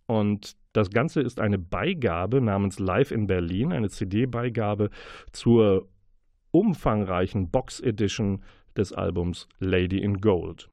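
A man hosting a radio book show, presenting reviews and interviews in a deliberate, wordy, playful announcer manner. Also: on the low side, dark tone.

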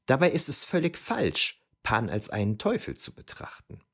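A man's voice: medium pitch, wildly swooping pitch, normal speed, a bit bright, slightly rough, exaggerated voice. A man playing a reflective ancient historian who narrates memories of youth in a scripted, wryly explanatory way for an audio drama. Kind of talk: scripted first-person narration.

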